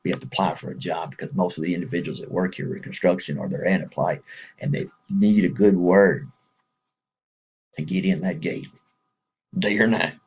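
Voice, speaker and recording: A man's voice: sits low, steady tone, normal speed, creaky, long pauses, a little mellow, breathy edge, muffled recording. A man with a Texas accent speaking casually to viewers in a folksy, rambling way, chuckling between thoughts.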